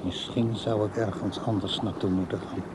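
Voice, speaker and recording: A man's voice: on the low side, level tone, normal speed, background noise, lightly acted. An actor playing a lovesick, stubborn and evasive older father in a radio drama, defensive and wistful.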